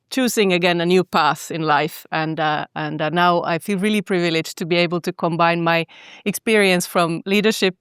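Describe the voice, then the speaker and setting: A middle-aged woman from southern Finland, speaking thoughtfully as a podcast guest, pausing and restarting her phrases. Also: lowish voice, clean tone, no echo, clean studio sound. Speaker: podcast guest, cultural institute director. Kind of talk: reflective conversational talk.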